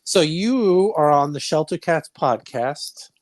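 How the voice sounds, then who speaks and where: A man's voice: a little high, animated, slow pace, dry recording, clean studio sound. A middle-aged man from Brooklyn, New York, hosting a podcast in a chatty, rambling way. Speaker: podcast host. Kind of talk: casual chat and interview.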